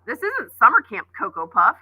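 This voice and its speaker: A woman's voice: a little high, huge pitch swings, moderate pace, sharp tone, husky, dry recording, full character voice, poor audio. A woman voicing a bossy know-it-all schoolboy who scolds the girls. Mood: scolding. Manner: scolding, pushy.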